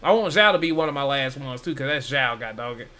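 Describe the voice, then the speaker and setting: A man's voice: mid-range voice, expressive, quick pace, bright, forceful tone. A young man hosting a gaming video with casual, slangy, sweary commentary that rambles along. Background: game music.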